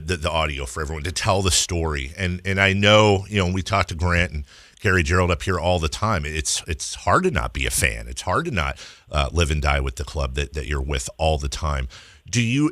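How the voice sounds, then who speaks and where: A man's voice: deep voice, sharp tone, dry recording, clean studio sound. An American man hosting a sports radio show, asking questions in a conversational interview style.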